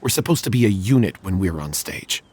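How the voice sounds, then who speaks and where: A young male stage performer in a video game, troubled and evasive. His voice is low and rough-edged, and he talks in an earnest, hesitant, regretful way. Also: expressive, a little fast, darkish tone. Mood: uneasy, guarded.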